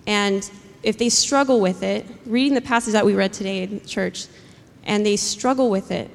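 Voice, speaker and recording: A woman's voice: medium pitch, normal speed, vocal fry. A woman sharing an earnest church reflection, hesitant and searching for her words. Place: church hall. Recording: long hall reverb.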